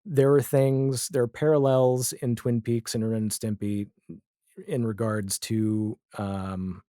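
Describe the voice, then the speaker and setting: A man's voice: medium pitch, little pitch movement, slow pace, dark tone, dry recording, studio quality. A man in his forties talking casually and reflectively as an interview guest, with a wry way of telling things. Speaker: interview guest, comedy and audio drama writer.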